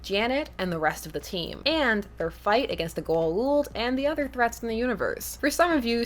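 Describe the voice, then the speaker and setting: A woman hosting an enthusiastic recommendation vlog, speaking emphatically with stretched words for stress and playful asides. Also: medium pitch. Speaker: host of a fan fiction recommendation show. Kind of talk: enthusiastic scripted vlog review.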